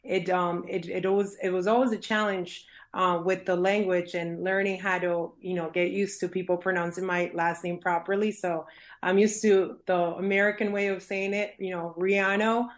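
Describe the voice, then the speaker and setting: A woman's voice: on the low side, little pitch movement, normal speed. A woman born in Colombia, a dentist guest, answering interview questions in a friendly way with many fillers and restarts. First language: Spanish.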